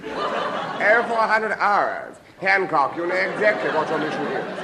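An English actor playing a polite, earnest army colonel with a clipped officerly manner in vintage radio comedy. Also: male voice, sits high, lively intonation, a little slow, crowd noise. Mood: businesslike. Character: genteel, earnest British army colonel briefing his men. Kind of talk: 1950s British radio comedy acting. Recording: very noisy old radio recording.

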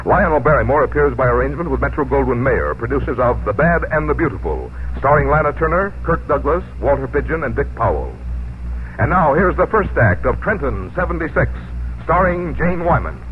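A man's voice: medium pitch, big pitch swings, fairly quick, a little hiss or hum. Man announcing on old radio, swooping intonation. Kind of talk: scripted 1950s radio announcing. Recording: muffled 1950s radio recording.

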